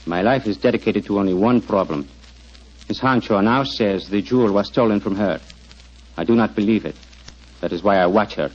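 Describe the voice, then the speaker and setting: Guy playing a solemn man on a quest, lowish voice, very formal, muffled old radio. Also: darkish tone, lightly acted. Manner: formal, deferential, measured. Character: solemn, courteous, devoted Egyptian man on a quest. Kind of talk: 1940s radio drama acting. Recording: muffled, lo-fi 1940s radio recording.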